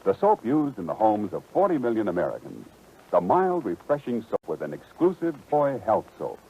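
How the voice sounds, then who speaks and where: A man's voice: fairly low pitch, wildly swooping pitch, normal speed, slightly rough. A man announcing a commercial in 1940s radio style. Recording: muffled 1940s radio recording.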